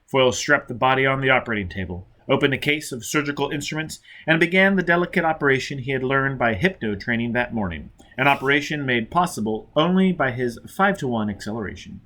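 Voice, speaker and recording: A man's voice: mid-range voice, expressive, fast, few pauses, pushed and bright. A man reading a novel aloud as dramatic scripted narration. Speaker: audiobook reader.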